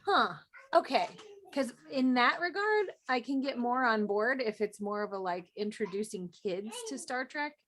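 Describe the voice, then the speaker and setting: A woman's voice: a little high, animated, normal speed, a bit bright, a little hiss or hum. A woman chatting informally as a panelist on a fan talk show. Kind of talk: casual chat.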